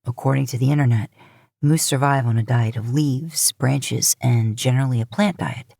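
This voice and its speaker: A woman voicing an easygoing, affectionate, teasing older brother in a casual, gruff audiobook delivery. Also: very deep voice, normal speed, warm tone, no room sound, clean studio sound, pitched below the performer's own voice, exaggerated voice. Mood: encouraging, practical. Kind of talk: audiobook character dialogue.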